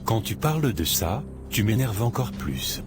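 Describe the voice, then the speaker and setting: A man playing a cruel, commanding husband in an animated moral drama. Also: on the low side, measured pace, music in the background. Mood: cold contempt. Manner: harsh, commanding, insulting. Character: cruel, contemptuous husband throwing out his wife.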